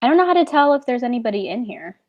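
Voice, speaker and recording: A woman co-hosting a casual book club livestream, relaxed and chatty, with lots of "like" in her talk. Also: a little high, very fast, highs cut off. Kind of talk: casual chat.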